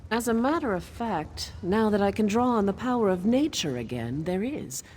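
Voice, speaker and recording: A woman playing an earnest, determined forest witch ally in a fantasy video game, with a measured delivery. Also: medium pitch, lively intonation, a little mellow, a little hiss or hum, natural voice. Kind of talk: video game character acting.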